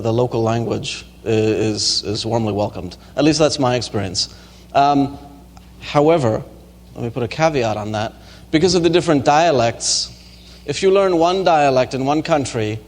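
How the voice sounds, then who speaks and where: A male lecturer answers audience questions in a relaxed, halting way, often pausing on ums and restarting phrases. His voice sits at a middle pitch. The lecture hall adds a long, echoing tail to every word. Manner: hesitant, many ums and restarts. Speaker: university lecturer. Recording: reverberant lecture hall recording.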